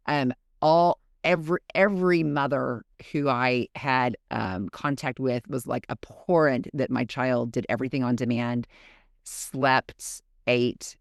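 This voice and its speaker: A woman's voice: very deep voice, slow, creaky, dry recording, studio quality. A woman and mother co-hosting a podcast in casual chat, frank and blunt, laughing easily. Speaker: podcast co-host.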